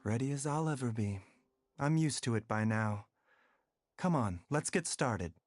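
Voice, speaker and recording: A woman voicing a calm, resigned video game character with an understated way of talking. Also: rumbling low, a little slow, a little hiss or hum. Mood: resigned, ready.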